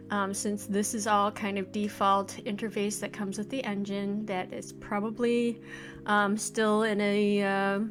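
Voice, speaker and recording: A woman in her forties giving casual first-impressions game commentary, thinking aloud in hesitant, trailing phrases. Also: mid-range voice. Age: 40s. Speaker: let's play host, indie game developer. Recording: music playing behind the voice. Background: video game music.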